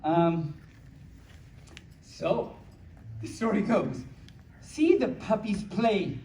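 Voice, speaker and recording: A playful storyteller reading picture books aloud to a small audience, with childlike, cutesy phrasing and excited asides. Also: medium pitch, very slow. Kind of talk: playful live story time with ad-libbed asides. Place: small room at a convention. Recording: echoey room from far off, faint noise.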